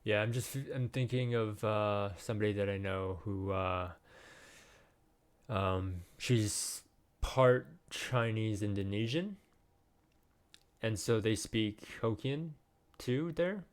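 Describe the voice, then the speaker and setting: A man co-hosting a podcast, chatting casually and often pausing with ums as he searches for words. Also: fairly low pitch, clear, resonant tone. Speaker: podcast co-host. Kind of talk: casual chat.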